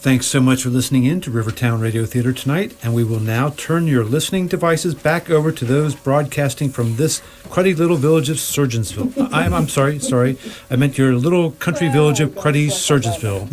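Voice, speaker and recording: A man announces for a radio theater group. His voice sits at a medium pitch and his sign-off style is jokey. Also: a little fast, darkish tone.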